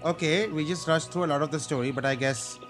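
A man's voice: a little high, quick pace, a bit bright. A young man with a sore throat, casually commenting on a game he is playing, with wry jokes, into a studio mic in a small room. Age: young adult. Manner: chatty, joking, wry asides. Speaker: gameplay streamer. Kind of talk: casual let's-play commentary. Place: small cluttered room indoors. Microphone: studio mic on arm, headphones. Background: game music.

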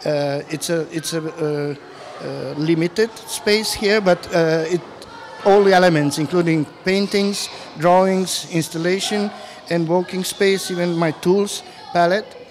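A man in his fifties from former Yugoslavia, speaking as an artist in an on-camera interview in a gallery room, halting and full of fillers, into a handheld mic. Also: high-pitched, a little hiss or hum. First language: Serbian. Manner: halting, many fillers and restarts. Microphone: handheld mic held close.

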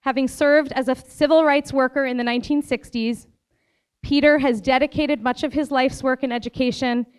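A woman reading a formal, measured introduction of a guest speaker at a school event. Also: high-pitched, clean tone. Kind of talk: read introduction. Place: school hall.

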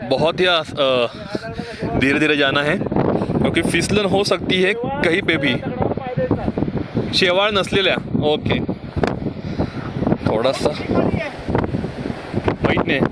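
A young man talks slowly into a body-worn action camera as he picks his way along a slippery hillside path, careful and slightly nervous. His voice sits a little high, and loud wind roars across the mic the whole time. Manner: careful, slightly nervous, talking to himself while climbing. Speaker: vlogger. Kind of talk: hiking vlog narration. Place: outdoors on a misty mountain trail.

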